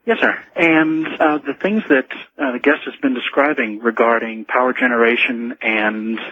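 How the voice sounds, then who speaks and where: An American man speaks as a radio caller. His mid-pitched voice is husky, and he phrases things haltingly. The phone sound is dull and lo-fi. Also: fairly even intonation. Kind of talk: hesitant conversational question. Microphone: telephone.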